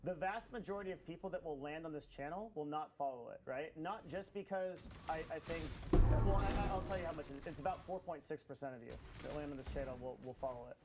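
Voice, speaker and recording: A young man with a moderately high voice chats casually like a livestreamer. His delivery is excitable and halting, circling back on words, and video game gunfire is clearly audible behind him. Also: very noisy. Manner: excitable, halting, repeats himself. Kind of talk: casual chat on a game stream.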